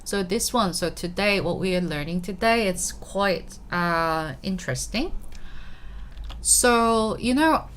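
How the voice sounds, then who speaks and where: A woman's voice: medium pitch, expressive, slow, fairly bright tone, slight background noise. A woman from Korea with a Korean accent, teaching a live online language lesson in a chatty manner, often checking in with her students. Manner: switches between English and Korean.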